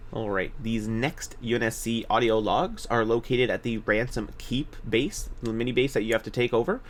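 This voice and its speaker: A man with a fairly low voice talks through a gameplay guide in a casual, explanatory way. He is halting and meandering, often correcting himself as he goes. There is clear background noise behind his voice. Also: a bit bright. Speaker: video game guide narrator. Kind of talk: casual gameplay walkthrough commentary.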